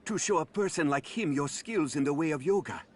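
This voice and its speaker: A man's voice: fairly high pitch, animated, a little fast, slightly rough. A man playing an anxious, earnest young yoga disciple in a video game, eager, unsure and deferential. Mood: astonished. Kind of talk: video game story cutscene acting.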